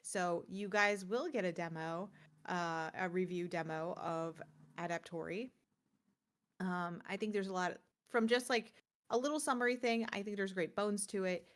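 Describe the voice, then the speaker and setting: A woman's voice: fairly low pitch, fairly even intonation, normal speed. A woman co-hosting a gaming podcast, chatting casually and informally as she presents game picks in a rambling way.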